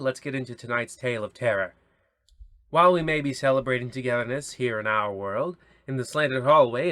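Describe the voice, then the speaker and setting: A man's voice: mid-range voice, no room sound. Dry, mock-solemn male radio narrator. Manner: mock-solemn, rambling, deadpan. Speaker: narrator and host of a comedy radio play series. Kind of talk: scripted narration, old radio horror anthology parody.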